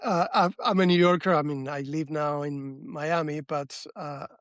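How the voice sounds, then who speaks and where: A man from Cuba, hosting a casual interview in a halting way, searching for words. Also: a little high, steady tone, dry recording. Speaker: interviewer, host.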